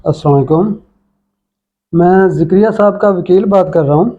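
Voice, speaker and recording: An actor playing a formal lawyer speaking over a telephone in an audio drama. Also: male voice, high voice. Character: formal lawyer calling a client's son. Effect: telephone filter. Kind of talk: Urdu moral audio drama acting. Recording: thin phone-line sound.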